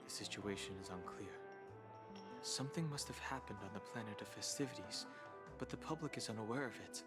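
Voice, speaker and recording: An actor playing a calm, analytical male outsider in a video game, speaking in a measured, precise, formal way. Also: lowish voice, lightly acted. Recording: loud music behind.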